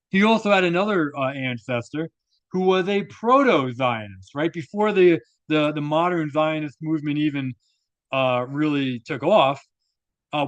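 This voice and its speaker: A man's voice: a little high, no echo. A man narrating a video, reading an article aloud and adding informal, opinionated commentary with rhetorical asides.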